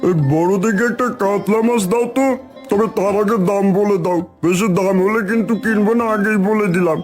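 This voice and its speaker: A man's voice: high-pitched, fairly even intonation, fairly quick, close to an everyday voice. A man playing a thrifty, fussy market customer in a cartoon folktale, exclamatory like a haggler. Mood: chatty. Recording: faint music behind the voice.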